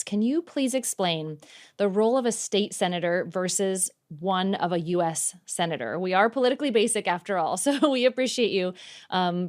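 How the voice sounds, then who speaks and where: A woman's voice: fairly low pitch, a little mellow. A woman hosting a political interview show, reading an upbeat intro and asking her guest questions with friendly praise. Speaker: podcast host.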